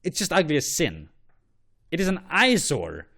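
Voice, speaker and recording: A man's voice: on the high side, wildly swooping pitch, moderate pace, vocal fry, fairly bright tone. A man hosting an online show, giving blunt, profane and rambling opinions. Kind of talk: ranting commentary on flags.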